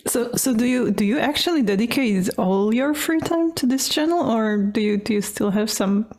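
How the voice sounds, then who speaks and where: A woman's voice: on the high side, expressive, normal speed, a little mellow. A woman hosting a podcast, asking curious questions and reacting to her guests. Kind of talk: casual interview.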